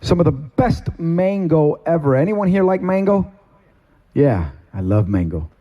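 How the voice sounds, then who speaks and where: A middle-aged man from Cuba, a pastor giving a sermon testimony from a stage, with slow, deliberate, emphatic phrasing. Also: fairly high pitch, big pitch swings, highs cut off. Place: stage in a meeting hall.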